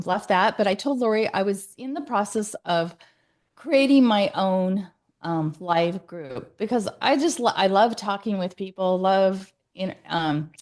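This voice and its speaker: A woman's voice: medium pitch, a little mellow. Woman host, casual online chat, rambling with fillers and restarts. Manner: chatty, many fillers and restarts.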